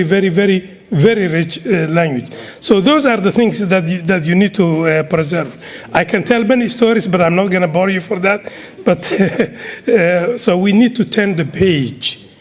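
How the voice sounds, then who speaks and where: A middle-aged man from Somalia with a Somali accent, giving an earnest speech to an audience. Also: sits high. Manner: earnest and exhorting, with repetitions. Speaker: community speaker.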